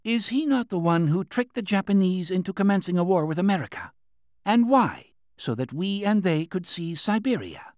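A man's voice: high voice, very animated, normal speed, a little mellow, dry recording, clean studio sound, pitched above the performer's own voice. A man voicing a fearful older Chinese minister who pleads urgently with a colleague in an audiobook.

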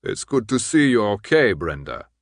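A man voicing a gruff, scornful gray-haired man with a gravelly voice and a curt manner, in an audiobook. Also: lowish voice, very animated, moderate pace, dry recording, studio quality. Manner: curt, dismissive.

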